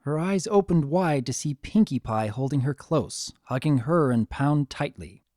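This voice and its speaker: Male narrator, dramatic story reading, gentle, animated intonation, dry recording. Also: fairly high pitch, unhurried, no echo. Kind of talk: dramatic scripted fan fiction narration.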